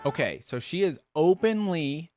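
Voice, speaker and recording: A man's voice: fairly high pitch, animated, unhurried, music in the background. A man hosting a reaction video, giving casual commentary and musing aloud as he goes. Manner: musing, thinking aloud, repeats phrases he hears.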